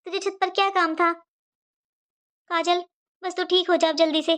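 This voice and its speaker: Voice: extremely high pitch, flat delivery, normal speed, fairly bright tone. A performer voicing an anxious, morally stern middle-aged mother in a cartoon moral story, emotional and reproachful. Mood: remorseful. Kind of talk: animated moral story dialogue.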